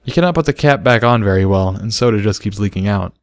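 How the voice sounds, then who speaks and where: A man's voice: lowish voice, quick pace, dry recording, clean studio sound, natural voice. A man voicing an awkward male pony mentor who explains things carefully and hesitantly in a story. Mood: gentle, explaining.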